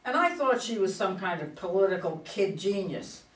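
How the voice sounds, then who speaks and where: A woman's voice: mid-range voice, sing-song, normal speed, lightly acted. A woman playing a proud, combative older female politician in a play reading, with an indignant, emphatic delivery. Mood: bitter. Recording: echoey room, far from mic.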